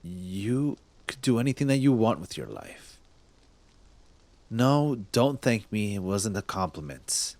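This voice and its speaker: A smug, teasing cop played by a man speaks in a very low voice, addressing the listener up close in an ASMR roleplay. He takes his time, with halting, intimate phrasing that gives away how smitten he is. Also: very animated, a little mellow, no room sound, heavily acted. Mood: probing, softening. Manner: teasing, intimate, halting, drops into breathy murmurs. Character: smug young male cop, secretly smitten with thief. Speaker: voice actor in audio roleplay.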